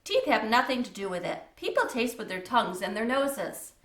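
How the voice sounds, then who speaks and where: A woman's voice: on the high side, big pitch swings, moderate pace, fairly bright tone, roomy sound, far from the mic. A woman reading aloud as an earnest, literal-minded young boy in a storybook, speaking in a matter-of-fact, precise way. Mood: earnest, blunt.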